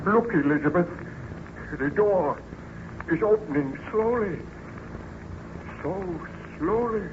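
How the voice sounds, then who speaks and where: A man's voice: high voice, lively intonation, takes it slowly, pauses often. A man playing a tormented, guilt-ridden, defiant scientist in theatrical, emphatic old-time radio melodrama style. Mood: dread. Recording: noisy, dull vintage radio recording.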